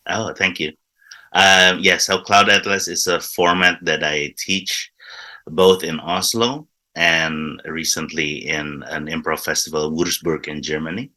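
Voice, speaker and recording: A man's voice: a bass voice, fairly even intonation, measured pace, pushed and bright. A man from Indonesia, a guest and improv teacher, explaining his format in a casual interview with frequent restarts. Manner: enthusiastic, restarts words often, explanatory.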